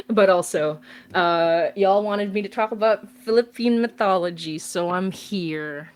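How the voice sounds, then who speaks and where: A woman's voice: medium pitch, slow pace. A woman chatting casually on a livestream, bubbly and rambling, laughing often. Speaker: podcast guest, horror writer. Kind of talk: casual stream chat.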